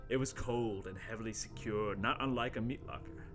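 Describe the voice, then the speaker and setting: A man's voice: fairly low pitch, a little fast. A man narrating a horror radio play as its host, in a theatrical, mock-ominous dramatic style. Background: music.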